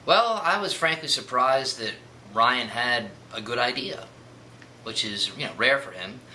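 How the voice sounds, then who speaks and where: A man with a strikingly bright, forward voice at medium pitch answers interview questions. He is dry and wry, with a little noise behind him. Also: lively intonation, measured pace, poor audio. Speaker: comic book writer. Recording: faint background noise.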